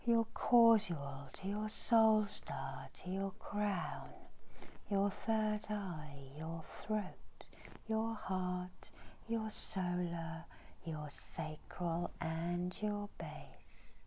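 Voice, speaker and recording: A middle-aged woman leads a healing meditation in ASMR style. Her voice is mellow and breathy, often dropping into a whisper, and she speaks extremely slowly with long, soothing pauses. There is faint noise behind her. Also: on the low side, poor audio. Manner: soft, slow, soothing delivery with long pauses. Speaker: reiki healer and meditation guide. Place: small indoor room.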